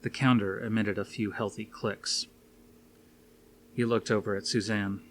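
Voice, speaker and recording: A man's voice: on the low side, flat delivery, a little slow, fairly bright tone, slight background noise. A man reading a scripted story aloud as an audiobook narrator. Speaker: audiobook reader.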